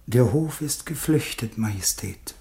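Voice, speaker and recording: An old valet at a Roman court, with a mid-range voice. He is anxious and deeply deferential, speaking formally and plaintively as a theatrical radio drama figure. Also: lively intonation, unhurried, creaky, mellow. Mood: faint, plaintive. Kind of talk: theatrical radio play dialogue.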